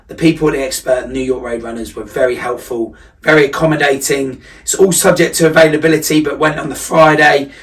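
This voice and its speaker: A man's voice: mid-range voice, a bit bright. Man vlogging, slightly distant mic. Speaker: running coach vlogger. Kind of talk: casual personal vlog recap.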